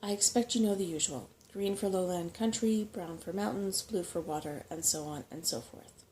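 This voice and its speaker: Female reader as small male rat mapmaker for a storybook: portentous, fussy, grasping, soft-spoken, background noise. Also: lowish voice, normal speed, lightly acted. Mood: portentous, instructive. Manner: portentous, fussy, easily affronted.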